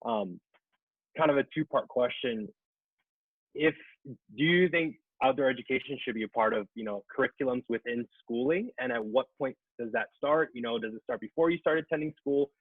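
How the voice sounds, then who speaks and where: A man's voice: medium pitch, moderate pace, husky, no echo. Thin radio-like sound, very dry, man, American accent, casual podcast co-host, interview questioning. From Hawaii, United States. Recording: dry, narrow radio-like phone-band sound.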